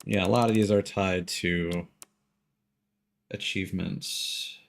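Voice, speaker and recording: Low-voiced man rambling over a game stream. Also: steady tone, slow pace, vocal fry. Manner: rambling, thinking aloud. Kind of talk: casual live gameplay commentary.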